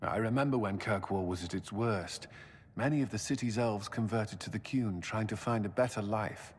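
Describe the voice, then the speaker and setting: An actor playing a blunt, duty-bound commander in a fantasy game, firm and earnest. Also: male voice, fairly low pitch, normal speed, husky. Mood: grave. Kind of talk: dramatic fantasy video game acting. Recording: long hall reverb.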